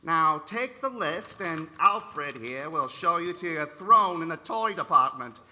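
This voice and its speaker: Guy doing fussy store manager, radio play, highish voice, really animated. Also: heavily acted. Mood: officious. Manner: brisk, eager, officious.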